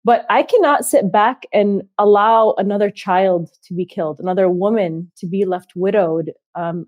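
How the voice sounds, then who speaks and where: A woman hosting an interview, speaking in an impassioned, measured way with frequent fillers. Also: mid-range voice, dry recording, highs cut off. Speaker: host. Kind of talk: interview discussion.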